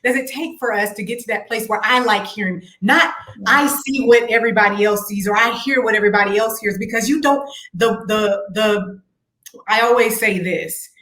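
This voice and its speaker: A woman's voice: a little high, normal speed, pushed and bright, roomy sound, rough recording. A woman singer being interviewed, telling her story in an earnest, reflective way with faith-filled words. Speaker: singer and guest. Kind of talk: casual interview chat.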